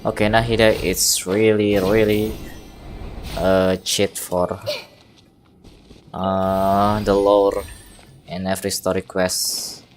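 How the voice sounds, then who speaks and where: A woman giving casual live gameplay commentary, halting and repeating words as she thinks aloud. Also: extremely low pitch, level tone, a little mellow, a little hiss or hum. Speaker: game streamer.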